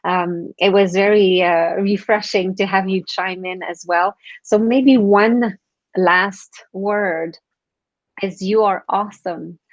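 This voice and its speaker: A woman's voice: medium pitch, fairly even intonation, takes it slowly, dry recording, lo-fi sound. A woman guest on a live online show, giving earnest motivational advice with frequent restarts; French is her first language.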